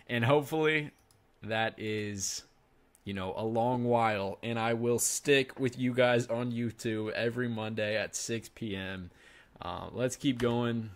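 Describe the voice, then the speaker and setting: A man's voice: medium pitch, a little slow. A man in his twenties giving casual reaction commentary as a finance video host, chatting to his viewers and calling them "guys" often. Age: 20s.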